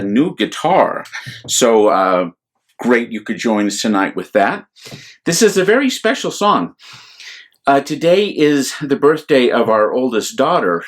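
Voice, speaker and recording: A middle-aged man hosts a children's storytime. He speaks slowly in short, simple phrases at a middle pitch, with music in the recording. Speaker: children's storytime host and priest.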